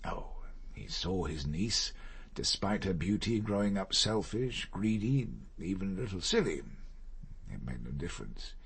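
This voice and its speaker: An actor playing a proud, reserved older gentleman in a radio drama, speaking formally and deliberately, with guilt under the surface. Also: low-pitched, big pitch swings, husky, exaggerated voice. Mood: measured, confessional.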